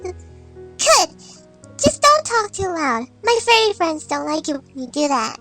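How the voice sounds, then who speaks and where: A woman's voice: very high voice, very animated, slow, bright, forceful tone, faint music behind, exaggerated voice. A woman voicing a kind, quirky, imaginative little filly with a childlike, playful and earnest manner.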